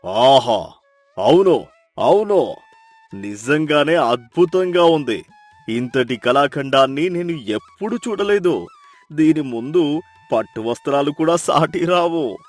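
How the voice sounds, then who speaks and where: Man acting a village landlord, proud, pompous, loud, commanding, gullible, moral story cartoon, music behind. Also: a little high, expressive, takes it slowly, long pauses, pitched above the performer's own voice. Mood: feigned admiration.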